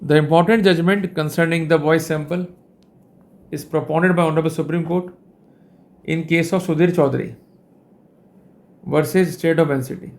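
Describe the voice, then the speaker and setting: A man with an Indian accent giving a formal instructional lecture on his own, in deliberate, measured phrases with short pauses. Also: fairly high pitch, fairly even intonation. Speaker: legal educator presenting a lecture.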